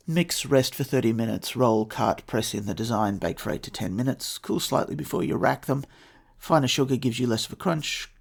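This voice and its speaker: An Australian man narrates his podcast in a steady, matter-of-fact way. His voice has a heavy gravelly fry and a husky, rough edge, sitting at an ordinary middle pitch. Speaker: podcast host. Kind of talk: scripted solo podcast narration.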